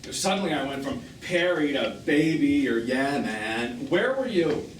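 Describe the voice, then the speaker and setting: Male actor as jilted middle-aged man, sarcastic, theatrical, very animated pitch, live stage drama, echoey noisy theatre recording. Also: normal speed, recorded from a distance, natural voice. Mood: hurt, accusing. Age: 50s. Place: small theatre, recorded from the audience.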